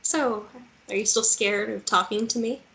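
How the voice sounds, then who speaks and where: A woman's voice: mid-range voice, fairly even intonation, normal speed, vocal fry, a bit bright, rough recording. Woman acting a chatty, vulnerable young woman. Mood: wondering, teasing. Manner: casual, colloquial, teasing. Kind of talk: table read of a fantasy romance script.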